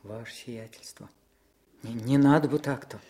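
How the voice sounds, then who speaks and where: An actor playing a humble, devout village scribe in a radio drama, speaking in an earnest, deferential, old-fashioned churchly way. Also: male voice, mid-range voice, moderate pace, dark tone, breathy edge. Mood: humble, devoted. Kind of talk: Soviet radio drama acting.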